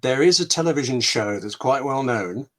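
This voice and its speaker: A British man speaking as a guest scriptwriter, giving conversational writing advice in an emphatic, discursive way with dramatic pauses. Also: mid-range voice, expressive, a bit bright.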